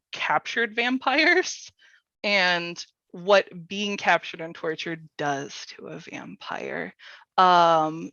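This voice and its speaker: A woman hosts in a bright, forward voice with very little room sound. She chats casually, feeling her way through phrases in an informal, giggly manner. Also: lowish voice, dry recording, muffled recording. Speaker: workshop host. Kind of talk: casual chat.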